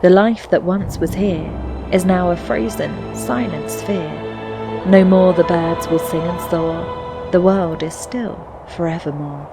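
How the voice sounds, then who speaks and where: A reflective female narrator recites melancholy fantasy poetry for a game. Her voice sits a little low, and she takes each line very slowly and solemnly, with a lyrical, measured cadence. Music plays clearly behind her. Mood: somber.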